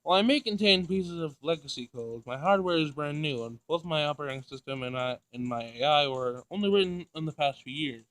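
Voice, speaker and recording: A man's voice: on the high side, animated, fairly quick, hardly any pauses, fairly bright tone, dry recording, low-quality recording. Visual novel android, read by a man: female machine, literal-minded, formal and emotionless in delivery. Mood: clinical, blunt. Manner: pedantic, clinical, formal wording.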